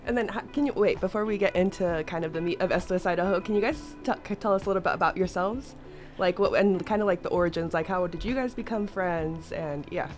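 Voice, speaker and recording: A woman's voice: lowish voice, fast, faint music behind. A woman hosting a casual radio interview in a studio, chatty, laughing often and restarting her questions. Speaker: radio host. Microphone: studio mic.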